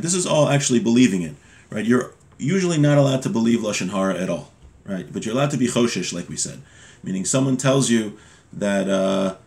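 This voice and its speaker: Guy in his 30s teaching religious law at his desk, normal pitch, reads then explains, pretty informal. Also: a little mellow. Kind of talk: informal lesson, reading Hebrew text aloud and explaining it in English. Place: small home room with bookshelves, indoors.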